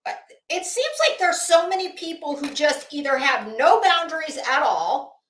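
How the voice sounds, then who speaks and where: A woman's voice: sits high, animated, moderate pace, fairly bright tone, a little hiss or hum. A middle-aged American woman doing casual livestream commentary in a blunt, opinionated, emphatic way, swearing freely. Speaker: YouTube commentary host. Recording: roomy, echoey room sound.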